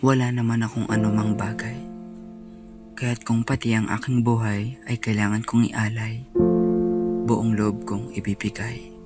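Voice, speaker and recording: A man playing an earnest, sorrowful young student poet in a solemn, measured dramatic monologue. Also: lowish voice, flat delivery, natural voice. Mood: earnest, resolute. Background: faint music.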